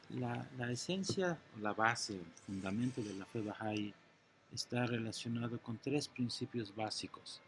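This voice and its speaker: A man's voice: mid-range voice, noisy background. A middle-aged man from Iran, answering interview questions in an earnest, teacherly way and patiently explaining ideas step by step, with occasional restarts.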